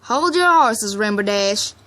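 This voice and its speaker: A woman's voice: mid-range voice, lively intonation, slow, sharp tone. A woman voicing a practical, cautious country mare with a firm, folksy way of talking.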